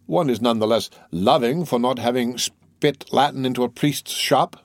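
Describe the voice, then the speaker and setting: A man's voice: mid-range voice, moderate pace, dry recording, close to an everyday voice. Man acting a suave young army captain, dry sound. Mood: tender, coaxing.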